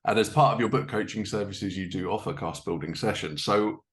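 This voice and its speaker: A man's voice: fairly low pitch, fairly quick. A man hosting a podcast, asking questions in a casual interview style.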